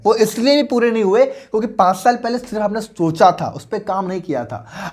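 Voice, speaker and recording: A young man in his twenties coaching on investing, talking persuasively and often checking that the listener is following. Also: high-pitched. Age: 20s. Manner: persuasive, mixes English into Hindi, asks the listener questions. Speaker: finance coach. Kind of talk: motivational finance lesson.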